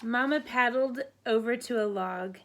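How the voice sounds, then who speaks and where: A woman reading picture books aloud to children in a teacherly way, pausing to prompt listeners with questions. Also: a little high, a bit bright. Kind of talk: read-aloud storybook narration with counting asides.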